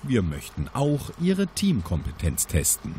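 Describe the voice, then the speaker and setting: Man acting formal, very animated futuristic training instructor. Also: lowish voice, unhurried, slight background noise. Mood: formal, instructive. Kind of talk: scripted audio drama briefing.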